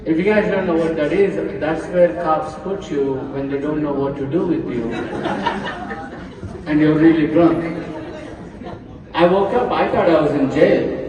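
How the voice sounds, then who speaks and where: A man from New Jersey doing a stand-up set, telling deadpan stories and acting out quotes. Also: on the high side. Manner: deadpan storytelling with acted quotes. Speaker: stand-up comedian. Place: event hall with a crowd. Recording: echoey hall, mic heard from far off.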